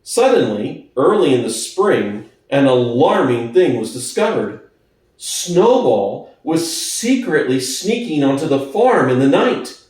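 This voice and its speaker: A man's voice: medium pitch, sing-song, slow pace, darkish tone. A man reading a classic novel aloud as a dramatic scripted narration. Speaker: audiobook narrator. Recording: very echoey room, distant from the mic.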